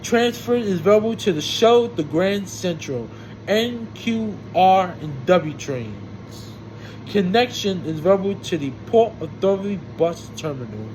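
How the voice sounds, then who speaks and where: Guy w a high voice doing subway stop announcements, slow n formulaic, loud hum behind him. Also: low-quality recording. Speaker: amateur transit announcer. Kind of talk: imitating subway train announcements, reciting station stops. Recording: loud steady background hum and noise.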